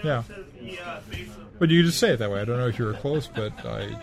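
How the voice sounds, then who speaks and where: A man's voice: mid-range voice, animated, a little fast, gravelly, crowd noise. A man hosting a podcast, chatting casually and playfully with his co-hosts before recording, steering the others to the mics. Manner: playful, joking, directs the others. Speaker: podcast host. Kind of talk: casual pre-show chat.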